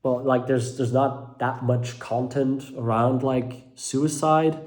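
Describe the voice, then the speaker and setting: A young man from Belgium with a Dutch-speaking accent talks casually to his viewers from his living room. He is hesitant, fills gaps with "uh" and "um," and often restarts his sentences, with a pitch that sits in a middle range for a man. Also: steady tone, slow, darkish tone. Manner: hesitant, many fillers and restarts. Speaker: mental health content creator. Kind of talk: casual talk to camera.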